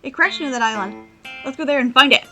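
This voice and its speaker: A woman's voice: sits high, fast talker, pushed and bright, faint music behind. A woman voicing a caring, level-headed young animal adventurer in an audio drama. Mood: curious.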